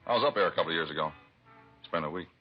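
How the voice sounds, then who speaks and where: Chatty, low-voiced radio bartender played by a man. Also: rapid-fire, close to an everyday voice. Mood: chatty, easygoing. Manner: chatty, casual, folksy. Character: chatty, nosy small-bar bartender, male, easygoing grumbler. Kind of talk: naturalistic 1950s radio drama acting. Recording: muffled vintage radio, music behind.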